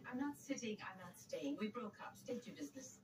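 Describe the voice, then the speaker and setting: A woman's voice: mid-range voice, animated, brisk, echoey room, background noise, close to an everyday voice. An actress playing a curt, formal, indignant middle-aged ex-girlfriend in a soap opera. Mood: curt, cold. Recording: TV played into distant mic, loud music.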